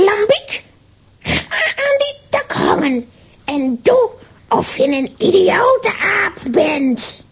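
A very high, creaky witch for a children's audio play. The voice swoops about as it taunts and commands with gleeful cruelty, taking its time over the threats. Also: sing-song, very slow, exaggerated voice. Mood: menacing, commanding. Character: gleefully cruel, bullying witch Antanneke, shrill and gloating.

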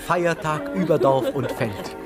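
A man narrating a literary radio play in an expressive, dramatic storytelling style. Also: fairly high pitch, a little slow, a loud crowd, natural voice. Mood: festive, vivid. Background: music.

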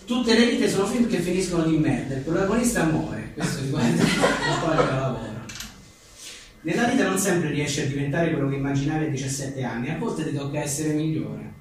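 A man's voice: a little high, lively intonation, fairly quick. A man, an author reading his comic aphorisms aloud with wry, self-deprecating, chatty asides. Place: echoing venue at a book presentation. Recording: very echoey room, distant mic, faint noise.

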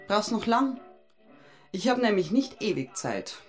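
Eine Schauspielerin spielt in einem Hörspieldialog eine schroffe, arme bayerische Frau, spöttisch, ungeduldig und scharfzüngig. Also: female voice, mid-range voice, very animated, unhurried, soft background music. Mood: impatient, bitter. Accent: Bavarian. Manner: mocking, blunt, impatient. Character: brusque, hard-up working-class Bavarian woman, sharp-tongued, nagging. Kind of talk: radio play dialogue.

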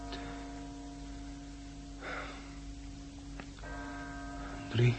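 Male grumbling, sardonic patient; very lively pitch swings, forceful bright tone, vintage radio drama with some hiss. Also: full character voice, low-quality recording. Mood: grumpy, drowsy. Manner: grumbling, sardonic, talking to himself. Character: irritable, sardonic male hospital patient with bandaged eyes. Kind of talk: 1960s Dutch radio drama acting. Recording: hissy 1960s radio recording.

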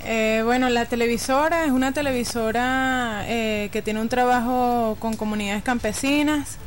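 A woman's voice: on the high side, fairly even intonation, normal speed, slight background noise. A woman, a guest on a radio interview, speaking hesitantly with many fillers. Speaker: guest from a TV producer.

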